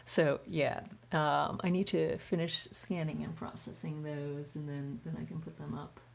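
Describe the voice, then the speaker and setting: Low, gravelly-voiced woman chatting. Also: level tone, a little slow. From United States. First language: Chinese. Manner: chatty, reads viewer comments aloud, frequent restarts. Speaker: artist hosting a livestream Q&A. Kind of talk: casual livestream chat while painting.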